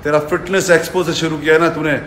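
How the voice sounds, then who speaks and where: Confrontational high-voiced man in echoey room. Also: little pitch movement, quick pace, a bit bright, slight background noise. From India. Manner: confrontational, taunting, swearing. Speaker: fitness personality. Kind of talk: angry social media rant to camera. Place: furnished living room indoors. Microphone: phone at arm's length.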